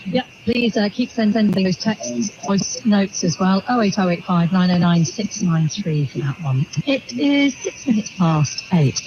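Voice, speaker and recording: A high-pitched man presents a live breakfast news programme, moving between headlines and interview questions. The broadcast is muffled, with clear shortwave static over the voice. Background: radio static and hiss.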